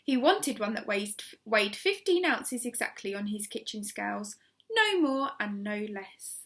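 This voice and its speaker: A woman teacher reading a children's story aloud, expressively, with measured and emphatic dramatic phrasing. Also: medium pitch, big pitch swings, fairly bright tone.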